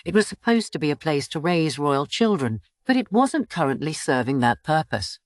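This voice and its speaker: A woman's voice: low voice, very animated, normal speed, dry recording, clean studio sound. A woman reading scripted story narration for an audiobook.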